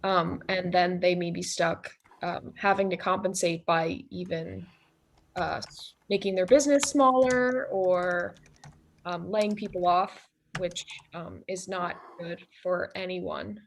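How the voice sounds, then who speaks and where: A woman's voice: on the low side, fairly even intonation, takes it slowly, slight background noise. A woman student speaks over a video call in a class role-play as an economist. She sounds earnest and agreeable. She pads her points with frequent fillers.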